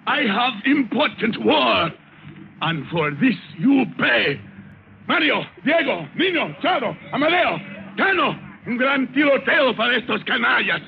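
A flamboyant guerrilla warlord of old-time radio drama, with a Spanish accent and bombastic broken English. His high, gravelly voice lunges and swoops with menace. The sound is dull and aged. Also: big pitch swings, measured pace, exaggerated voice. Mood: menacing, pompous. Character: flamboyant, menacing, drunken Latin American guerrilla general. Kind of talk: 1940s-50s radio drama acting. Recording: muffled old radio, faint noise.

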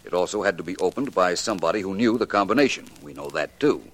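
An actor playing a suave, unflappable gentleman detective with urbane, measured, precise speech in a 1940s radio drama. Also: deep voice, animated, no room sound. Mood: deliberate, puzzled.

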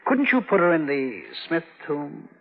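An actor playing a businesslike, matter-of-fact man with a husky voice in a 1940s radio drama. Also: fairly high pitch, very animated, unhurried. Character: matter-of-fact small-town man, businesslike acquaintance asking favors. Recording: dull old radio broadcast recording.

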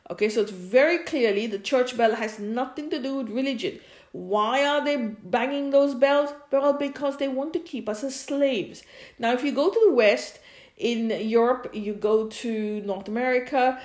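A woman giving an informal opinion monologue as a podcast host, emphatic and repeating phrases for stress. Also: on the high side. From India.

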